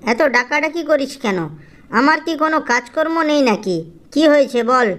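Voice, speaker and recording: A harried mother from a children's cartoon, played by a woman, with a high voice. She scolds curtly and sounds exasperated by constant demands. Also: moderate pace, a bit bright. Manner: exasperated, curt. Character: harried, scolding poor widowed mother bird.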